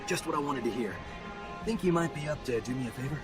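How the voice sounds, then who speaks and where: Man playing a glib, animated merchant. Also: medium pitch, quick pace, natural voice. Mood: ingratiating. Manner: glib, folksy, effusive. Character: chatty, slippery male merchant, eager and ingratiating. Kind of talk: video game cutscene acting. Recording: music behind the voice.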